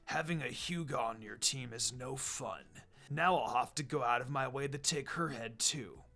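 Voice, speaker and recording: Man as a hushed, low, taunting villain ninja. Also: faint music behind. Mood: mocking threat. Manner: taunting, drawn-out. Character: menacing, mocking male enemy swordsman ninja.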